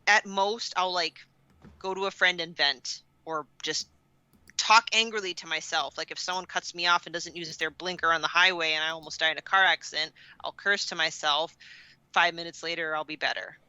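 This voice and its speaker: A woman's voice: lowish voice, steady tone, normal speed, bright, forceful tone, smooth, dry recording, highs cut off. A woman co-hosting a podcast, chatting casually and candidly with emphatic phrasing, close to the microphone. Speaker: podcast co-host. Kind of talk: casual personal chat.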